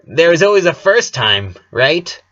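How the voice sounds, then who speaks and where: Storybook tomboy sister, played by a man: high, bashful yet cocky, on lo-fi audio. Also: very animated, a little slow, pushed and bright, exaggerated voice. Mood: defensive. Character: flustered, defensive sporty tomboy sister, teasing and bashful. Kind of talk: storybook character voice.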